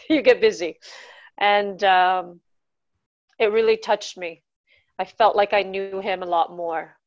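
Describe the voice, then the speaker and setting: A woman's voice: mid-range voice, dull audio. A woman in her fifties from Barbados, telling her life story to an audience in a conversational way with many ums. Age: 50s. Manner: conversational, frequent ums, unhurried. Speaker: speaker at a talk. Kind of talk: personal storytelling talk.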